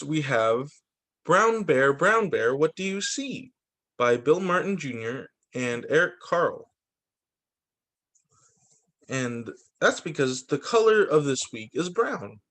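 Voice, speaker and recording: رجل يقرأ القصص للأطفال ببطء شديد وتنغيم متنوع. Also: male voice, on the high side, a bit bright. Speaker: teacher reading picture books to children. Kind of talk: storytime read-aloud for young children.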